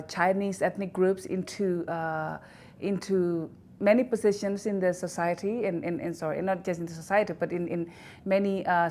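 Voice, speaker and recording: A woman from Indonesia with an Indonesian accent, answering interview questions in a halting way with many fillers and restarts. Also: medium pitch, darkish tone. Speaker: interview guest, institute director. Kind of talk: recorded news interview.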